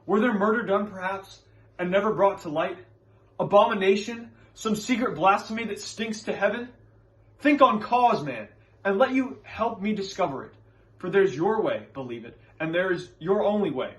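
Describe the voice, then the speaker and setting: A man plays a scholarly witch-hunting reverend in a stage drama. The high voice is earnest and preacherly, leaning firmly on formal, archaic wording. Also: lively intonation, normal speed, fairly bright tone, low-quality recording. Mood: urgent reasoning.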